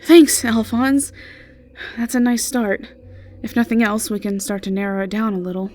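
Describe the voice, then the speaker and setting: A woman's voice: on the high side, level tone, normal speed, darkish tone, soft background music, close to an everyday voice. Woman acting an awkward, stammering, friendly innkeeper girl. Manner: stammering, flustered. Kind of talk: fantasy audio roleplay acting.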